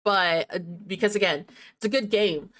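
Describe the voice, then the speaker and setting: A woman's voice: medium pitch, moderate pace. A woman hosting a game livestream, chatting casually and playfully to herself and her viewers in a giggly manner. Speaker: game streamer, host.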